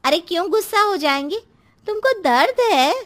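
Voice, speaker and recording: Female actor as outspoken neighbour, high-pitched, bossy, blunt, advising, moral-story drama. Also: lively intonation, moderate pace, fairly bright tone. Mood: concerned, urging. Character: outspoken, bossy neighbour woman, mother of children.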